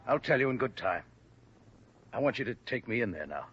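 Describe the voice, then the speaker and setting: An older man, husky and anguished, stubbornly protective. He speaks emphatically in old radio drama style. The recording is muffled. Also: medium pitch, very animated, a little fast. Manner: emphatic, indignant questioning. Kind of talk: 1950s radio drama acting.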